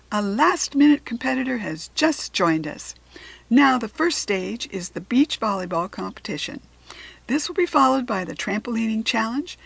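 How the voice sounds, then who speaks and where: A hearty male sports-day organizer from a children's storybook, put on by a woman. He projects loudly and stresses his words like an announcer, with a husky edge. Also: on the high side, exaggerated voice. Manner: loud, emphatic announcer delivery. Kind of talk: children's storybook character reading.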